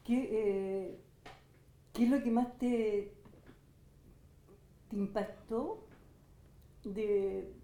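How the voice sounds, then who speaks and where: A woman's voice: medium pitch, steady tone, drawn-out words, pauses often, warm tone. A woman asking an informal interview question as the interviewer, away from the microphone. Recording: roomy, off-mic, faint noise and clicks.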